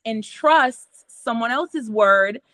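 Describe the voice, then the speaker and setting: A woman's voice: a little high, extremely slow, no room sound. A middle-aged woman whose first language is patois, speaking English with a patois accent as a host, explaining therapy ideas steadily over a video call from a small room at home. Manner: explanatory, steady, gestures a lot with her hands. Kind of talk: casual conversation explaining therapy methods. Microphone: video call from a computer camera.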